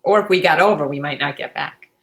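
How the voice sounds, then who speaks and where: A woman's voice: low-pitched, fast, a bit bright. A woman co-hosting a podcast, chatting casually with the guests. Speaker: podcast co-host. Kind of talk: casual chat.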